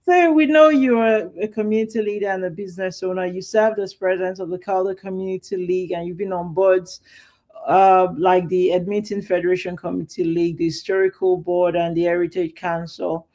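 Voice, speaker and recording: A woman's voice: fairly low pitch, fairly even intonation, brisk, dark tone. A woman with a Nigerian accent hosting a live talk show and interviewing guests in a casual, chatty way with fillers and restarts.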